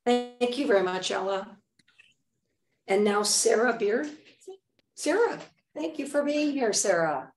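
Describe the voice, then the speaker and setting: A woman's voice: fairly high pitch, lively intonation, measured pace, pauses often, echoey room. A woman chairing a public board meeting in a large meeting room, calling speakers courteously and procedurally.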